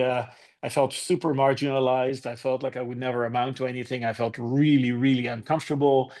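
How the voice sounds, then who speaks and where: A man's voice: medium pitch, gravelly, a little mellow. A man in his fifties from Greece with a Greek accent, giving a candid, conversational talk to an online conference audience and inviting debate. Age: 50s. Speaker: conference speaker.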